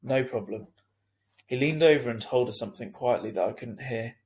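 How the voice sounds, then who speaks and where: A man narrating a children's book as a teacher reading aloud, deliberate and expressive. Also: mid-range voice.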